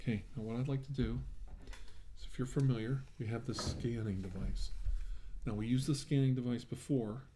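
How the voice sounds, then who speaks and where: A man's voice: mid-range voice, fairly even intonation, normal speed, noisy background. A man doing an ASMR roleplay as a medical examiner, talking in a reassuring, attentive bedside manner.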